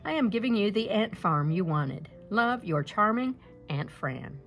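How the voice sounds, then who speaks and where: A woman's voice: mid-range voice, sing-song, measured pace, soft background music. A woman voicing a breezy, affectionate, clever aunt reading out her letter in a storybook.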